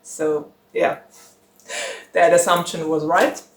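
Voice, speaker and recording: Young woman chatting slow and giggly, lots of ums, roomy echo. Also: on the low side, steady tone. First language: German. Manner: chatty, giggly, rambling with many fillers. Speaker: book channel host. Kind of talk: casual chat to camera.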